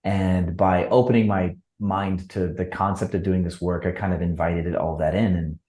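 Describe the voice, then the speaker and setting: A man from Wisconsin, a podcast guest, telling his story in a relaxed, reflective way with fillers. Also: low-pitched, normal speed, reverberant. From Wisconsin, USA. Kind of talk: casual interview chat.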